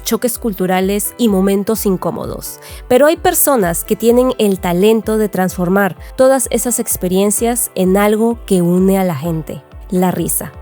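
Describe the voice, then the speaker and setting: Podcast host, a woman with a mellow, darker tone, faint music playing underneath. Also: mid-range voice. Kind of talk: scripted podcast introduction and interview questions.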